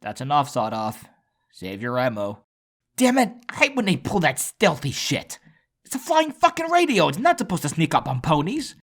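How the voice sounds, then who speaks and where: A man voicing crude, bullying male pony slavers with a rough, sneering, foul-mouthed delivery over music. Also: on the high side, wildly swooping pitch, normal speed, a bit bright, voice pushed up, exaggerated voice. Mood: furious.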